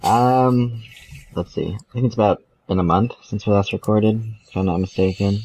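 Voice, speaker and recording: A man's voice: fairly low pitch, fairly even intonation, normal speed, darkish tone. A male podcast co-host chatting casually, hesitant and thinking aloud as he goes. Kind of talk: casual chat. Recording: dull, lo-fi microphone sound.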